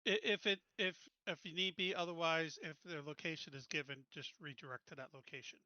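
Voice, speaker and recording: A man with a fairly high, very bright and sharp voice plays in a tabletop roleplaying game. He talks casually through his moves. The sound is dry and a little lo-fi. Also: steady tone, a little fast, no room sound.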